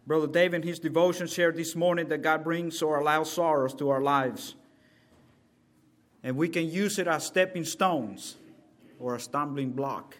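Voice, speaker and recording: A man preaches a sermon as a visiting preacher. His voice sits a little high for a man. He talks earnestly, dropping low for personal remarks and rising loud and emphatic on scripture. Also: moderate pace. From El Salvador. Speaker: guest preacher.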